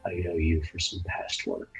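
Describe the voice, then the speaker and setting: A man's voice: low-pitched, very animated, normal speed, creaky, exaggerated voice, low-quality recording. A man playing a laconic, weary fantasy rogue in a tabletop game, hesitant and understated, often trailing off. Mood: businesslike. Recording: music behind the voice.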